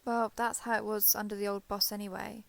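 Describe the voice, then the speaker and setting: A woman playing a shy, guarded former villain turned ally in an intimate romance audio roleplay, halting, defensive and awkwardly sincere. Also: mid-range voice, flat delivery, creaky, natural voice. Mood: grudging, defensive.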